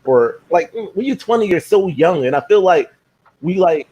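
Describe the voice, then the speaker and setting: A man in his thirties hosting a live-stream talk, relaxed and playful, laughing easily. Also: sits high, normal speed, breathy edge, poor audio. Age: 30s. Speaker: host.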